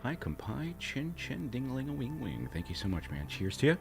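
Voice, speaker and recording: A man hosting a casual livestream, slightly hoarse, rambling and warmly thanking viewers for tips. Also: big pitch swings, brisk, music in the background. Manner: rambling, thanks tippers, breaks into silly high voices. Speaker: livestream host.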